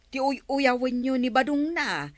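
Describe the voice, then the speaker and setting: A woman's voice: high voice, measured pace, dry recording. A woman acting an inquisitive, reproving village councilwoman in an Ilocano radio comedy, with an Ilocano accent.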